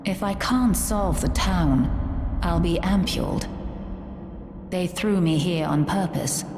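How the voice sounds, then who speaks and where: Dark, slow-voiced grim woman. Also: fairly low pitch. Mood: bleak, resigned. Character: grim, fatalistic woman trapped in doomed town. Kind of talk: theatrical game dialogue. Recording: echoey hall, faint noise and ambience.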